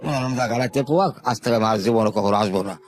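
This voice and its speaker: An older man. He tells his life story to an interviewer at a brisk pace and often repeats his words. Faint music plays under his voice. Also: mid-range voice. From Bangladesh, Sherpur. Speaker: interview guest.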